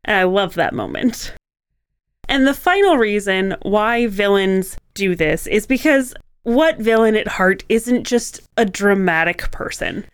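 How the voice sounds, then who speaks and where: A woman's voice: medium pitch, lively intonation, a little slow, clear, resonant tone, no echo, studio quality. A woman co-hosting a writing podcast, explaining points in a conversational way.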